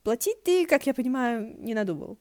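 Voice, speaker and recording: A woman's voice: on the high side, lively intonation, a little fast, darkish tone, voice pushed up, close to an everyday voice. A woman voicing a prickly, awkward male ghost in an audiobook, snide and muttering.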